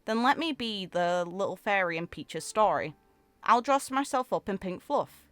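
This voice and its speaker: A sweet, romantic young game heroine, read out by a woman, tender and wistful like a storybook. The voice is smooth and clear, dry and close, over faint music. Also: medium pitch, lively intonation, normal speed, fairly bright tone, no room sound, close to an everyday voice. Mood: sweet, playful.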